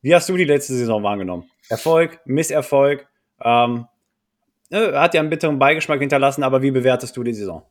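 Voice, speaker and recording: A man's voice: medium pitch, fast. A man hosting a podcast in a jokey, colloquial manner. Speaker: podcast host.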